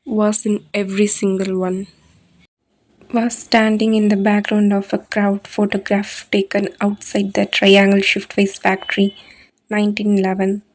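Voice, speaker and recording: Female horror narrator. Mid-pitched, evenly measured, deliberate and dramatic storytelling. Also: monotone, rough recording.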